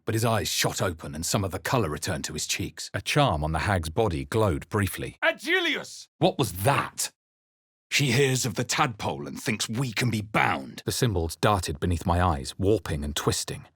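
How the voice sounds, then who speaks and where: Brooding fantasy game hero, deep husky voice, wary and measured, very dry recording. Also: male voice, big pitch swings, fairly bright tone, dry recording, full character voice. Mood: fierce incantation, then wary, musing. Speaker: video game voice actor. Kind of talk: dramatic fantasy game narration and character lines.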